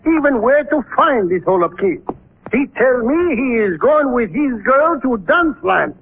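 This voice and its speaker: An actor playing an insistent Greek immigrant waiter with a Greek accent and urgent broken English, in 1940s radio drama. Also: male voice, extremely high pitch, very animated, measured pace, exaggerated voice. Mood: excited, insistent. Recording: muffled, noisy old radio recording.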